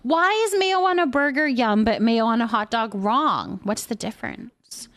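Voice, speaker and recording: A woman's voice: fairly high pitch, moderate pace, a bit bright. A woman chatting casually and playfully as she hosts a livestream and responds to viewers' comments. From Canada. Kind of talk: casual chat, reading and answering viewer comments.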